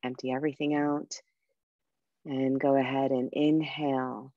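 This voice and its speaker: A woman guiding a meditation and breathing exercise in a soft, unhurried, soothing way with pauses. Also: rumbling low, little pitch movement, no echo, highs cut off. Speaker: meditation guide and coach. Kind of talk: guided meditation with breathing instruction.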